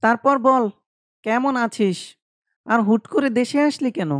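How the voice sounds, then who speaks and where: A man's voice: very high-pitched, a little slow, no room sound, studio quality. A man voicing earnest, troubled young village friends in sentimental cartoon dialogue. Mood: curious.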